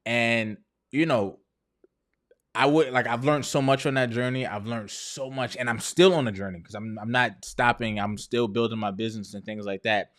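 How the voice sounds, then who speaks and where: A man's voice: mid-range voice, normal speed, a bit bright. A man in his thirties talking candidly and casually to viewers as a video host, often checking in with the listener conversationally. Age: 30s. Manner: conversational, often checks in with "right?" and "you know". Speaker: entrepreneur video host sharing personal story.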